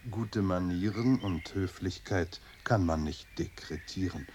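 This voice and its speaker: A courteous old monastery host in a radio drama. His low voice is mellow and serene, and he lectures in measured, formal phrases over audible ambient noise. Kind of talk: German radio drama acting.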